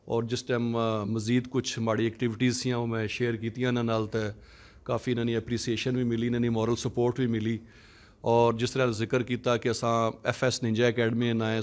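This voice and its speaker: A middle-aged man, a martial arts academy founder, introducing himself in relaxed, digressive conversation into a handheld mic in a conference room. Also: mid-range voice.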